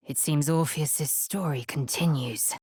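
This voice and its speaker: A woman voicing a proud, fierce, imperious githyanki warrior in a video game, with a husky, raspy voice and a clipped, haughty, formal manner. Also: low voice, unhurried, a little mellow, no echo. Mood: measured, grave. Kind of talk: game voice acting, short scripted lines.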